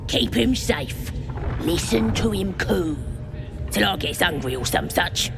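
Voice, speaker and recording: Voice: mid-range voice, lively intonation, slow, gravelly, music in the background. An actor playing a shifty, dim goblin guard in a game, slangy and sneering. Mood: sullen, possessive. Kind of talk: game character acting.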